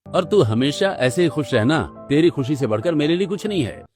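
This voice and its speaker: Man voicing earnest young brother, lifted pitch. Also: animated, fairly quick, darkish tone, faint music behind, close to an everyday voice. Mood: affectionate teasing. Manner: earnest, reassuring, affectionate. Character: poor, devoted, self-sacrificing young working brother. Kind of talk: Hindi cartoon story dialogue.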